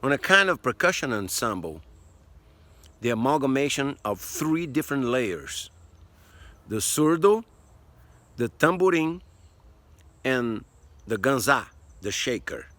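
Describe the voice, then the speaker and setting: Slow-spoken man teaching percussion. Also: mid-range voice, sing-song, fairly bright tone, no room sound. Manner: slow, explanatory, counting beats aloud. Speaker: music teacher. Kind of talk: demonstrating percussion outdoors. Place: outdoors by a tree.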